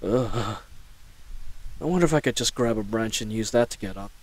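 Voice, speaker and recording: A man's voice: fairly low pitch, moderate pace, lightly acted. A man playing a flustered, chatty knight in a scripted audio roleplay, rambling nervously and stammering. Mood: flustered muttering. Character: babbling, flustered young male knight caught in a snare.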